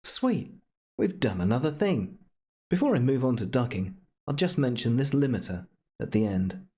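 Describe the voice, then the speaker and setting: A man presenting a casual software tutorial in a playful, chatty way with jokey asides. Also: mid-range voice, big pitch swings, normal speed, breathy edge.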